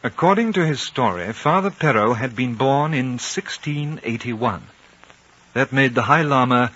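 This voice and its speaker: Muffled vintage radio recording of a thoughtful man in his late thirties. His bright, husky voice is earnest and incredulous as he questions and narrates. The delivery is measured and dramatic, in the 1950s radio manner. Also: mid-range voice. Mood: reflective.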